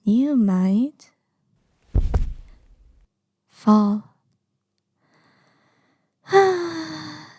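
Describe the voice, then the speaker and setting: A woman acting an obsessive, lovesick young kidnapper in an intimate ASMR roleplay, sweetly menacing with breathy murmurs and sighs. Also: high-pitched, mellow, no room sound, heavily acted. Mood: calm menace.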